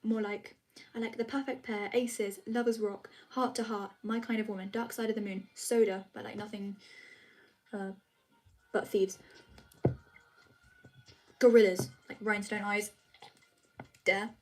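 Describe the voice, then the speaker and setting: A woman's voice: mid-range voice, flat delivery, unhurried, pauses often. A young woman chatting casually with her viewers on a live stream, rambling with lots of fillers. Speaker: vlogger answering chat.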